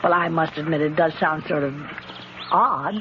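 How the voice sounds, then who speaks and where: A woman's voice: low-pitched, moderate pace, natural voice. An actress playing a polite, curious, motherly lady with a hesitant, tactful manner in a 1970s radio drama. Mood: gently doubtful. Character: polite, curious, motherly park-bench lady, gently doubtful. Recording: dull, noisy old radio broadcast.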